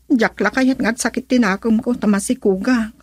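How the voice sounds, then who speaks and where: A woman's voice: on the high side, steady tone, normal speed, breathy edge, no room sound, clean studio sound. A woman playing a tender, anxious young pregnant wife in an Ilocano radio drama, with a Filipino accent. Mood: worried, pleading.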